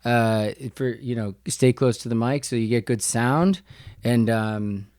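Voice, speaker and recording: Guy being interviewed on a podcast, mid pitch, halting and informal, very dry sound. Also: darkish tone, dry recording. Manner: halting, informal, swears casually. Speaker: podcast guest, business owner. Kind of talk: casual conversational interview.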